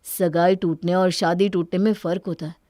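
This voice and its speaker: A woman's voice: on the low side, level tone, moderate pace, dark tone, dry recording, clean studio sound, natural voice. A woman voicing a hurt, guarded young husband in a cartoon story, with an earnest, plaintive manner. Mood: guarded, sombre.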